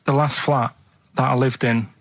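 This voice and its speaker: A man with a Northern English accent telling casual, rambling anecdotes in a deadpan, matter-of-fact and slightly defensive way. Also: mid-range voice, normal speed, no echo. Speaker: radio show sidekick telling anecdotes.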